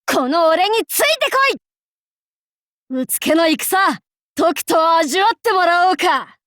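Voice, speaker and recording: A woman playing a brash, cocky young feudal warlord in an anime game, with rough, masculine, archaic and boastful speech. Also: very high-pitched, animated, measured pace, fairly bright tone, no echo, clean studio sound, heavily acted. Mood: confident, eager. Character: brash, cocky young feudal warlord, self-styled fool, fiercely loyal. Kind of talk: anime game character voice acting.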